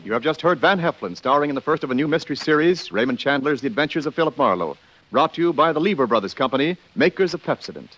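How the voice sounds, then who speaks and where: A man's voice: a little high, animated, fast. An American man announcing a scripted radio sign-off in formal, polished, emphatic 1940s style. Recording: dull 1940s radio recording, loud music.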